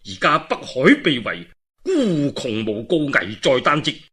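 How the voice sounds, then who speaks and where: Male storyteller as brave male warrior, Cantonese accent, forceful, husky, earnest, respectful, storybook heroics. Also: a little high, huge pitch swings, measured pace, exaggerated voice. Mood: pleading. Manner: forthright, urgent, respectful. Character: brave, earnest young warrior, loyal and bold.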